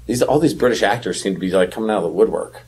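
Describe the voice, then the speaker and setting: A man with a husky voice chatting casually and enthusiastically as a podcast co-host about films. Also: lowish voice, rapid-fire, a little mellow.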